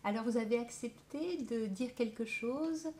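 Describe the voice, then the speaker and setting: Distant woman interviewer asking slowly. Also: on the high side. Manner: slow, hesitant questioning. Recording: off-mic, distant.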